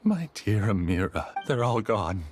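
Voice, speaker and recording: A man's voice: fairly low pitch, sing-song, a little slow, noisy background. A man voicing a bitter, despairing scholar in a video game, grave and mournful in manner. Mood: grieving. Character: despairing, bitter male scholar resigned to oblivion.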